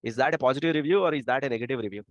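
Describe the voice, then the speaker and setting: A man's voice: medium pitch, dry recording. A man with an Indian accent teaching a class, often asking students for answers. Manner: quick, asks the class for answers. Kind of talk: classroom lecture. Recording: dry, dull lo-fi sound.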